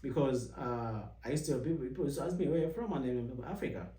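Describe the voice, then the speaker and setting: Young man from Uganda, vlog host, mellow, rambling storyteller, recorded off-mic in a roomy space. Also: fast talker, distant mic. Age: young adult. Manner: rambling with many restarts, acts out quoted questions in a higher voice. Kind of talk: casual personal storytelling. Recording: echoey room, voice set back from the mic.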